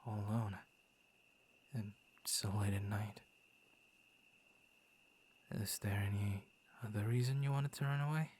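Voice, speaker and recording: A man playing a gentle, shy young werewolf in an intimate ASMR roleplay, speaking softly and breathily, often near a whisper, with hesitant stammers. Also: lowish voice, fairly even intonation, measured pace, long pauses, husky. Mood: gentle, concerned. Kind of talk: ASMR roleplay, intimate soft-spoken acting.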